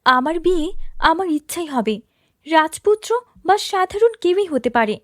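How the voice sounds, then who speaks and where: A woman's voice: very high-pitched, very animated, a little slow, no room sound, clean studio sound, exaggerated voice. A woman voicing a strong-willed young princess in a cartoon story, speaking firmly and earnestly.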